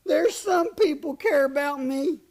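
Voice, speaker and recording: Woman acting a high-voiced, halting little western guitarist. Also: a little mellow. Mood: timid. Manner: halting, unsure. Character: meek, hesitant male guitar player in a western town. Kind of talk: western radio play acting.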